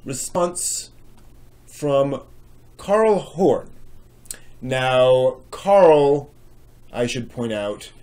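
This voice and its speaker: A middle-aged man hosting and giving a dramatic reading with commentary, using expressive, theatrical emphasis. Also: medium pitch, drawn-out words, long pauses. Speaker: host and reader. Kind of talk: dramatic reading of old online posts with explanatory commentary. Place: small home room with bookshelves, indoors.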